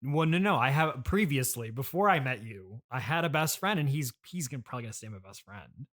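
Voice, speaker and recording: Man, podcast host, medium pitch, casual comedy interview, halting, hesitant, gently reasoning. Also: lively intonation.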